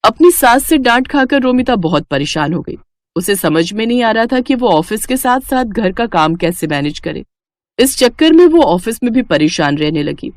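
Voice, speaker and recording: Expressive woman narrating with lively swings. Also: a little high, moderate pace, dry recording. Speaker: storyteller. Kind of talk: scripted narration of a family moral story.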